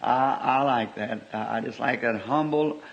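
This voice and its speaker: A hoarse man preaching a sermon in a folksy, earnest way. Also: medium pitch, measured pace. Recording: lo-fi noisy old tape, roomy.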